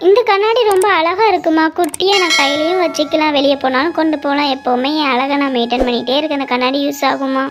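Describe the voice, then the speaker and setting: A woman's voice: high voice, fast, few pauses, over music, natural voice. Piping, vain young girl, played by a woman. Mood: delighted. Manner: cheeky, self-admiring. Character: vain, stubborn elder daughter, young girl.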